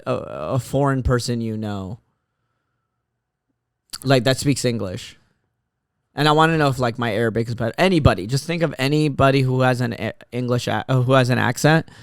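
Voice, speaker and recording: A man's voice: mid-range voice, animated, normal speed, long pauses, clean tone, no echo, studio quality. A man hosting a casual comedy podcast chat, joking loosely and rambling with many restarts.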